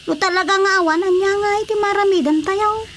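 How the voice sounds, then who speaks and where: A woman's voice: extremely high pitch, a little fast, a bit bright. A woman playing a feisty, stubborn wife in an Ilocano-accented radio drama comedy, exclamatory and argumentative. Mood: stubborn, wistful. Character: feisty, stubborn childless wife devoted to her pet. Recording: clearly noisy radio drama recording.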